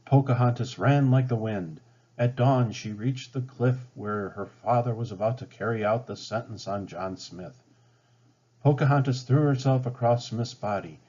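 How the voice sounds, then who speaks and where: A man reading a children's storybook aloud with dramatic, expressive narration. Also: mid-range voice, normal speed. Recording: slightly dull, band-limited sound.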